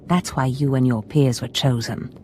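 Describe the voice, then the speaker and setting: An actress playing a scheming, ambitious female Sith lord in a video game, speaking in a measured, imperious, portentous manner. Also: a bass voice, steady tone, a little mellow, a little hiss or hum. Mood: solemn, ominous. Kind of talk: scripted video game dialogue.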